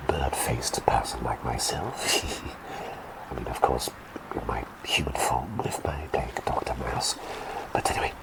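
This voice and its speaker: A man voicing a quirky male talking bird plague doctor, telling rambling bedtime stories in a soft ASMR whisper. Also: exaggerated voice, rough recording. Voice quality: deep creaky whisper. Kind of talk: ASMR roleplay bedtime storytelling.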